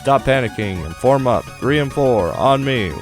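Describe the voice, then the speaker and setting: A man's voice: mid-range voice, lively intonation, moderate pace, over music, pitched above the performer's own voice, natural voice. A man voicing a stern female squadron commander who barks clipped orders in a visual novel game. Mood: stern, urgent.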